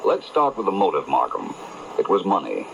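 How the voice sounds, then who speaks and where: A man's voice: deep voice, huge pitch swings, normal speed, gravelly, heavily acted. An actor playing a shrewd, urbane detective in an old radio mystery, explaining his case with assurance. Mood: assured. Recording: dull, hissy AM radio reception.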